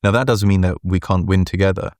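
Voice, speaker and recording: A man with a deep voice answering interview questions as a guest, measured and deliberate, often checking in with "right?". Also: steady tone, darkish tone, no echo, clean studio sound. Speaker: venture capital partner, guest.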